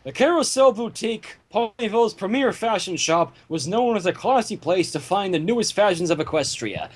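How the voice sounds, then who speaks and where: A man's voice: high voice, lively intonation, moderate pace. A man narrating a fan fiction story aloud, with casual asides, in a home recording.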